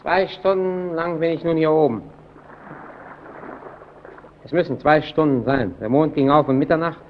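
An actor in a German radio play playing a solitary lighthouse keeper haunted by the sea, speaking haltingly and intensely, swelling and sinking to a near murmur. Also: male voice, a little high, steady tone, highs cut off, a little hiss or hum, natural voice. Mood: hushed, haunted.

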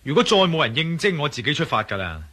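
An actor playing a confident, determined adventurer hero in a Cantonese radio drama, breezy and assured, with a Hong Kong Cantonese accent. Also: male voice, fairly high pitch, sing-song, fast talker, noisy background.

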